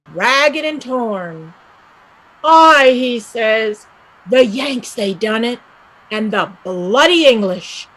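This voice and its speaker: Woman acting a husky, restless young Irish villager. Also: fairly high pitch, fairly bright tone, heavily acted, poor audio. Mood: scornful, impassioned. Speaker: actor in a staged play reading. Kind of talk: dramatic stage play reading.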